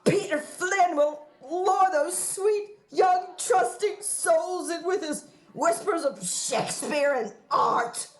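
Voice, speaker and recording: A woman's voice: very high voice, slow pace, few pauses. A woman playing a scheming, prim widow in a radio play, with a florid, sanctimonious and affected delivery. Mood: righteous, overwrought. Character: scheming widow posing as prim, melodramatic moral crusader. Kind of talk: theatrical radio play acting.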